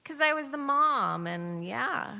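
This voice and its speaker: A woman's voice: high-pitched, lively intonation, slow pace, vocal fry, sharp tone. A middle-aged American woman, an interview guest, telling personal stories conversationally in a wry, self-deprecating way. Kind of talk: conversational storytelling in a live interview.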